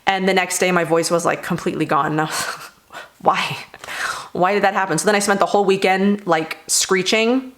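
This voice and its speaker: Young woman, low-ish voice, slightly hoarse, rambling casual beauty chat, lots of fillers. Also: steady tone, normal speed. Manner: rambling, many fillers like "like" and "you know". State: hoarse after laryngitis. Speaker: beauty vlogger. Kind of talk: casual product review chat.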